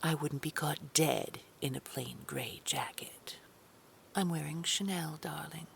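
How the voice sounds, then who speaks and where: Audio drama villain: woman playing a condescending female boss, very low voice, slow, syrupy menace. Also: slight background noise, exaggerated voice. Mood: sugary, condescending. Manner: patronising endearments, slow controlled menace, often breathy near-whisper.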